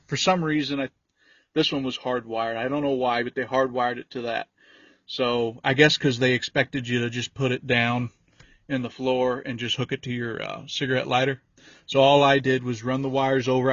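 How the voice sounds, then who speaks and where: A man's voice: mid-range voice, a bit bright. A man giving a casual, folksy handheld walkthrough, talking informally and rambling a little as he explains things. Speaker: vehicle DIY video creator. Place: in and around a pickup truck cab. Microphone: handheld phone or camera. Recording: dull lo-fi audio, roomy echo.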